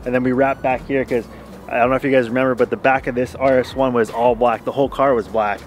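A man's voice: medium pitch, little pitch movement, fast. A man in his thirties talking casually in a laid-back, slangy way, like a shop vlog host. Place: in and around an auto shop and yard. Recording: music bed behind the voice.

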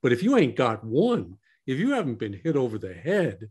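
A man's voice: mid-range voice, huge pitch swings, normal speed, darkish tone, slightly rough. A man co-hosting a podcast discussion, emphatic and given to repeating key phrases. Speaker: podcast co-host.

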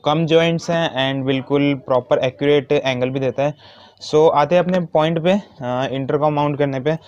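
A man's voice: mid-range voice, a little mellow, no echo. A man giving an informal hands-on tutorial step by step, mixing in English words. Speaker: motovlogger giving a how-to demonstration. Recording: dull, muffled lo-fi sound.

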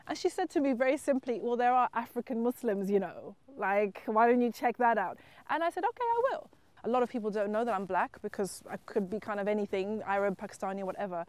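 Casting: middle-aged woman from Zimbabwe, mid-pitched, earnest and reflective, interview monologue. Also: fast, a little mellow, no room sound. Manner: earnest, reflective, emphatic at times. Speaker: writer and entrepreneur. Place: wheat field outdoors.

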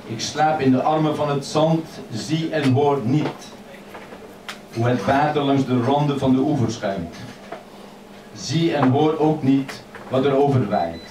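A man reading his poems aloud in a measured, expressive way at a microphone in a crowded old café. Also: medium pitch, far from the mic, noisy background. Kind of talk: poetry reading. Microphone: stand mic, filmed from across the room. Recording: echoey café filmed from afar, loud chatter.

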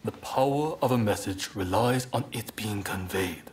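An actor playing an earnest samurai rebel leader in a game cutscene, speaking in a polite, measured, formal way. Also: male voice, lowish voice, slight background noise, lightly acted. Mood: reflective. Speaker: video game character actor. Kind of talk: dramatic game cutscene acting.

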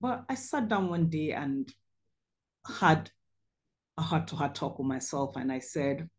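A woman's voice: deep voice, animated, unhurried. A middle-aged woman surgeon hosting a webinar on a video call, telling her own story over slides in an earnest way with frequent ums.

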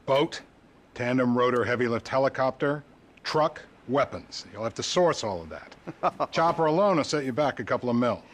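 A man's voice: mid-range voice, lively intonation, normal speed, slight background noise, natural voice. A man playing a shady, businesslike American government agent in a video game, matter-of-fact and a little hesitant. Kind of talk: dramatic video game acting.

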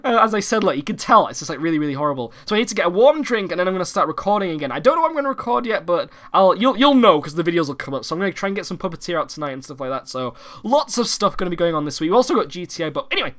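A young man from the United Kingdom, hoarse and croaky from a cold, hosting a casual gaming-channel vlog and rambling with many fillers and restarts. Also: high-pitched, sing-song, rapid-fire, few pauses, fairly bright tone. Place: home recording room.

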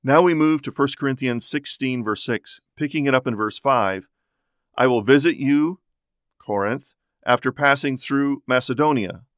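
A man's voice: medium pitch, normal speed, a bit bright, no echo, studio quality. A man giving a conversational, explanatory Bible teaching talk as a podcast host.

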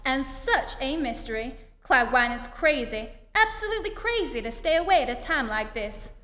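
In a reverberant hall with faint noise, a woman plays a radio-drama society matron. She is high-pitched, effusive and theatrical, by turns pleading and snappish. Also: expressive, moderate pace, bright, forceful tone. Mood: dramatic. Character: gushing, overdramatic society mother, pleading and snappish. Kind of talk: old-time radio play re-enactment.

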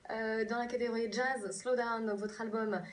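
A woman's voice: a little high, steady tone, normal speed, slight background noise. A woman, interviewing a guest on a live morning TV show. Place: TV news studio, replayed from a television. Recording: distant, captured off a TV screen.

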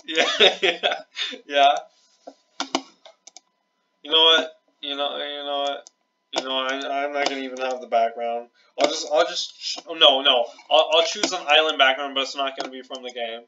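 A man's voice: mid-range voice, steady tone, moderate pace. A man chatting and joking casually as co-host of a gaming video. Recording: dull lo-fi mic, noisy, keyboard clicks. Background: computer typing and clicking.

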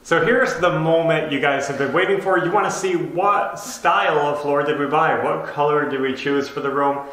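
A man's voice: a little high, fairly even intonation, normal speed, hardly any pauses, a bit bright. A man in his thirties talking casually to camera, explaining things as a home renovation vlogger. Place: empty bedroom under renovation, indoors. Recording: very echoey empty room.

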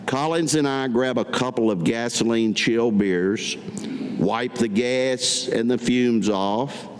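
An older man giving a staged poetry reading at a podium, deliberate and pausing between short phrases. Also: mid-range voice. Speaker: veteran reading his own writing. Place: indoor meeting room at a podium. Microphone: podium gooseneck microphone. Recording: echoey hall with audible room noise.

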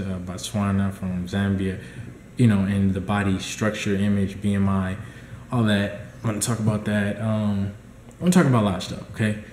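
Young guy talking low and even, lots of ums, echoey room with a little hiss. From United States. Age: young adult. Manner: relaxed, rambling, many ums. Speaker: dietitian vlogger. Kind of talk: casual vlog update. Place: small indoor room at home. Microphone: laptop or phone camera at close range.

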